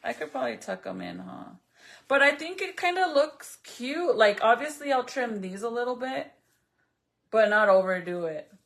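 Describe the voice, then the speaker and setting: A woman's voice: a little high, lively intonation, moderate pace, gravelly. A woman whose first language is Spanish, chatting casually and bluntly to her viewers while working on a craft project. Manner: blunt, profane, chatty, talks to viewers and commenters. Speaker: vlogger.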